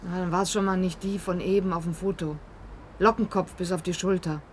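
A woman playing a brisk, matter-of-fact snack-stall vendor in a radio crime drama, chatty and blunt. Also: lowish voice, fairly even intonation, a little hiss or hum, natural voice. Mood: blunt, offhand.

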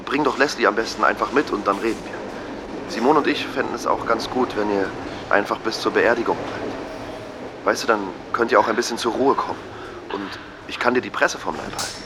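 An actor playing a placating, evasive middle-aged family friend in an audio drama, speaking haltingly. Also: male voice, lowish voice, noisy background, lightly acted. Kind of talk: naturalistic audio drama dialogue.

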